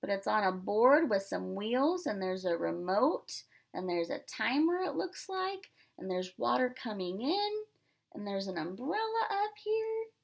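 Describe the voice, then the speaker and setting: A woman's voice: fairly high pitch, sing-song, unhurried, vocal fry. A woman reading a picture book aloud as a pre-K teacher, expressively, with simple asides to young children.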